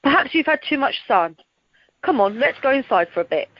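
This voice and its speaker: Young teasing holidaymaker acted by a woman, friendly, slightly high voice, dull phone-conference sound, radio comedy. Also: very animated, fairly quick, dry recording. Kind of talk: amateur radio play acting. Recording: dull telephone conference line.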